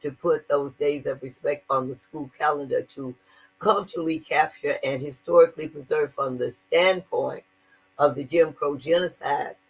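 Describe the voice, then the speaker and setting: Husky, earnest older woman. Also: deep voice, steady tone, moderate pace, soft background music, rough recording. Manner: earnest, emphatic, repeats words when stressing a point. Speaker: guest activist. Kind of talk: conversational interview.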